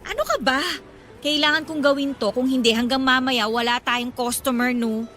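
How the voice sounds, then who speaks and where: Woman acting a bright, high-voiced young flower vendor. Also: normal speed, soft background music. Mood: curious, chatty. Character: earnest, loyal young female flower vendor. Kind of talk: radio drama acting.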